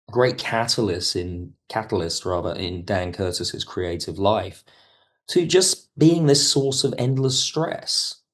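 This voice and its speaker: A man talking as a podcast guest in a casual discussion, discursive and often correcting himself. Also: medium pitch. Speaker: podcast guest, audio drama writer.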